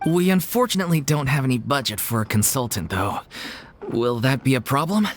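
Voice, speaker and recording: Man as earnest troupe player, expressive pitch. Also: brisk, close to an everyday voice. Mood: apologetic. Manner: earnest, polite. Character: earnest, polite theatre troupe member, weary but grateful. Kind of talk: video game voice acting. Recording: clear music behind the voice.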